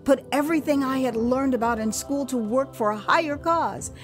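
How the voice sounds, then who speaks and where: An American woman narrating her own first-person memoir as theatrical audio drama, expressive, drawing out words for emphasis and dropping in chatty asides. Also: high-pitched, normal speed, faint music behind. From United States.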